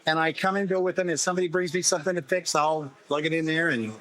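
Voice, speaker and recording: A man with a slightly hoarse voice, explaining his vintage repair work conversationally in an interview, often restarting phrases. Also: fairly high pitch, steady tone, quick pace, a bit bright. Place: busy festival exhibit table.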